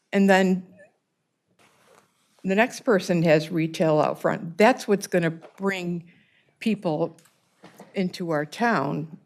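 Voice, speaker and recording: A loud-voiced woman council member arguing her point in a council chamber, halting often and restarting her sentences. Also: fairly low pitch. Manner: halting, many restarts and fillers, earnest. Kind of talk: council debate.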